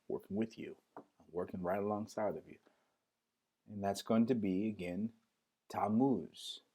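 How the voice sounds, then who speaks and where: A man's voice: sits low, a little mellow. A man teaching a live-streamed Hebrew lesson, repeating each word and spelling it out letter by letter, often restarting as he talks straight to his viewers. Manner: drills words slowly, spells them out letter by letter.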